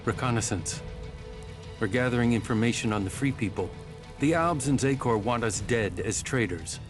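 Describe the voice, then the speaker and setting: A man playing a wary, proud rebel soldier in a video game, speaking in a measured, formal and distrustful way. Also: mid-range voice, close to an everyday voice. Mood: guarded, matter-of-fact. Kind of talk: video game cutscene acting. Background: music.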